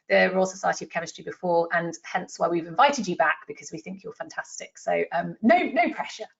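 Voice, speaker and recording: A woman's voice: mid-range voice, gravelly, fairly bright tone. A woman hosting a webinar, thanking and introducing speakers in an encouraging way over a video call. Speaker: webinar host. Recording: muffled video-call audio.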